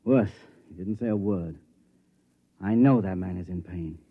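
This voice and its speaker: A man's voice: low voice, a little slow. An actor playing a weary, frank male ship's doctor with a grave, wry manner in 1950s radio drama style. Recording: very tinny, narrow vintage radio recording.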